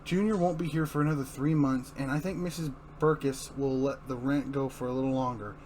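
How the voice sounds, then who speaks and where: A man's voice: mid-range voice, fairly even intonation, a little fast, darkish tone, lightly acted. Man reading as struggling husband, bitter, grumbling, anxious, comic-book drama, noisy recording. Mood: bitter despair. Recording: clearly noisy room recording.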